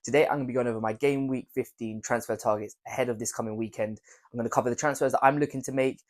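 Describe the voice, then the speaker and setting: A young man talks quickly, his voice croaky from a cold. He rambles and repeats himself in a casual chat with his viewers, at a middling pitch. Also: fairly even intonation, a little mellow. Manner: rambling, repeats words, hedges with "I think". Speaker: fantasy football YouTuber. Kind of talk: casual video commentary.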